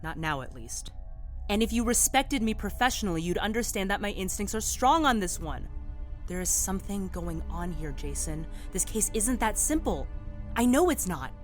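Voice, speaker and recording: A woman acts out a determined, troubled female investigator from an audio drama. Her delivery is animated, with a medium pitch and a defensive, insistent edge. Her speech is colloquial, over faint music. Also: normal speed, natural voice. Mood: pleading.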